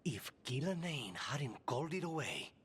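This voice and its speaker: An actor playing a grave, courteous assassin in a fantasy video game, speaking solemnly and formally. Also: male voice, medium pitch, sing-song, moderate pace, fairly bright tone, slightly rough, heavily acted. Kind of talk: video game dialogue acting.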